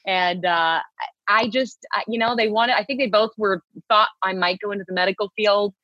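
A woman's voice: mid-range voice, steady tone, brisk, dry recording, lo-fi sound. A woman from Texas, a TV news co-anchor, chatting easily as an interview guest and laughing readily. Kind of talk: casual interview conversation.

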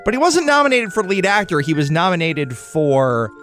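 A male radio host with a fairly high voice and hugely varied, swooping intonation. He banters in a wisecracking, exclamatory way, with light music behind him. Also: sing-song, moderate pace, a bit bright.

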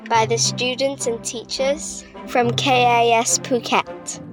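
A woman's voice: on the high side, fairly even intonation, slow. A woman reading a scripted podcast introduction and credits as the announcer. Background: music.

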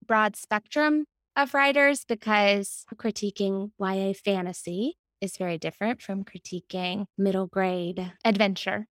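A woman talks as a guest in a casual interview, with a medium-pitched voice. She explains things reflectively and at some length, and the sound is dry with little room around it. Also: unhurried, hardly any pauses, a bit bright, no echo. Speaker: podcast guest, book editor.

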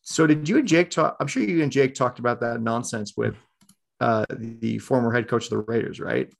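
A man's voice: medium pitch, normal speed, creaky. A man hosting a casual podcast, talking in a laid-back conversational way. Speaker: podcast host.

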